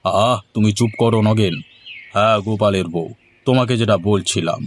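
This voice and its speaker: A man voicing a commanding village headman in a moral-story cartoon, speaking deliberately and with authority. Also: fairly low pitch, lightly acted. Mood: stern, bargaining. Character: authoritative village headman who loves good food.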